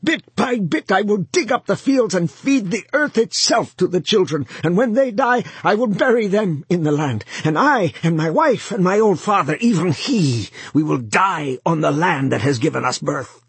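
A man's voice: sits high, lively intonation, moderate pace, hardly any pauses, breathy edge, no room sound, voice pushed up, full character voice. A man doing a shrieking starving peasant. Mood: furious. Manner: shrieking, weeping in defiance.

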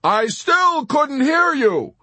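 Oblivious grinning navigator male reader putting it on: raised pitch, innocent, mildly reproving, war-story audiobook. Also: wildly swooping pitch, takes it slowly, pushed and bright, no echo, heavily acted. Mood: amused, oblivious. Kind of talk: audiobook character acting.

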